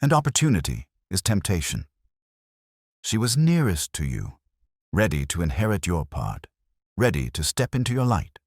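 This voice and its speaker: A man reading as a very deep, imperious detective. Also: sing-song, a little mellow, no room sound, clean studio sound. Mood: cool judgment. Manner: measured, formal, aphoristic pronouncements. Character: ponderous, brilliant, imperious male detective, coolly judgmental. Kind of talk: audiobook character dialogue.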